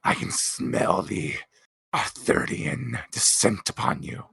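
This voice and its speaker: A man voicing a menacing doglike villain leader with a raspy growl and grandiose manner in a tabletop game. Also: deep voice, big pitch swings, fairly bright tone, pitched below the performer's own voice, full character voice. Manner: slow, grandiose.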